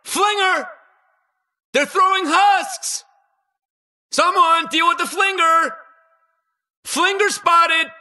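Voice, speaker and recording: An actor playing an eager, anxious and boastful toy-inventor hero in a video game, with a husky, slightly awkward delivery. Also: male voice, extremely high pitch, takes it slowly, pauses often, bright, forceful tone, exaggerated voice. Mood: urgent, alarmed. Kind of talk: video game battle voice lines.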